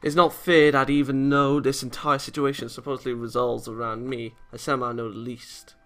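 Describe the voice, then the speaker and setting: A man's voice: mid-range voice, level tone, fairly quick, a bit bright. A man hosting a let's play, reading a visual novel aloud with casual comments and often stumbling over lines. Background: game music.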